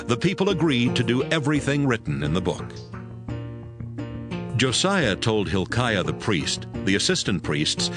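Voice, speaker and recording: A man with a fairly low voice narrates scripture in a measured storytelling style. His intonation is lively, and music plays clearly behind him. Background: prominent music.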